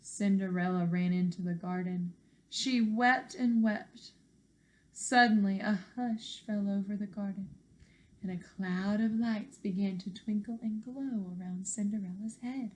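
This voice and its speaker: A young woman reading a children's storybook aloud as a teacher, expressive, with deliberate emphasis. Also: medium pitch, low-quality recording. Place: living room at home.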